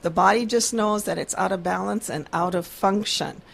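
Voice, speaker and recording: A woman's voice: mid-range voice, normal speed, vocal fry, breathy edge. A woman guest on a radio show, explaining earnestly and laughing easily. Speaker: guest practitioner. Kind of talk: casual radio chat, explaining.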